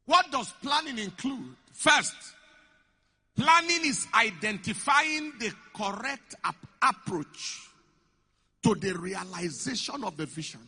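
High-pitched preacher in a hall. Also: male voice, animated, bright, forceful tone, breathy edge. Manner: emphatic, repeats key phrases slowly. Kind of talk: sermon. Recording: echoey hall.

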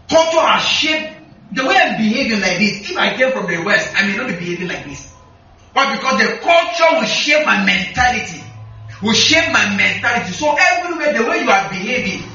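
Nigerian male preacher, high and bright, heavy hall echo, distant mic. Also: slight background noise. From northern Nigeria. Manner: asks the congregation questions often. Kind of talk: sermon with call and response. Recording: echoey, distant, slightly muffled hall recording.

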